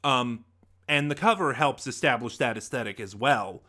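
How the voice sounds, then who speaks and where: A man's voice: medium pitch, normal speed, bright, forceful tone. A man giving a casual spoken review as a channel host, conversational and opinionated, thinking aloud as he goes.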